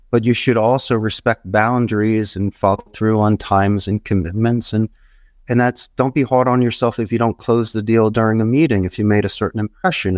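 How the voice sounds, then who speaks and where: A man's voice: on the low side, dry recording, clean studio sound. A middle-aged man from Philadelphia, a guest in an interview, giving rambling motivational business advice with emphatic, repeated phrases. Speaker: podcast guest, call center entrepreneur.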